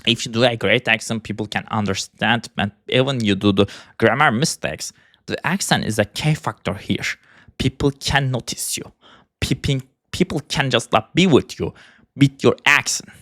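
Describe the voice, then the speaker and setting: A man in his twenties from Turkey with a Turkish accent, speaking English as a second language in a halting, rambling personal monologue. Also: mid-range voice, a bit bright, dry recording, studio quality. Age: 20s. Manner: halting, searching for English words. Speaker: vlogger.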